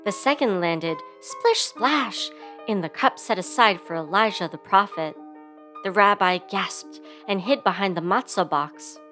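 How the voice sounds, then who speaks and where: A woman's voice: mid-range voice, normal speed, pushed and bright. A woman reading a children's story aloud expressively, giving sound words theatrical emphasis, with instrumental music behind her. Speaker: storybook reader.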